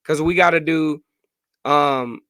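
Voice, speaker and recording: A hoarse man in his late thirties hosting a casual sports podcast live stream, chatting freely and answering viewer comments. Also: on the high side, steady tone, takes it slowly, fairly bright tone, no echo. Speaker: podcast host.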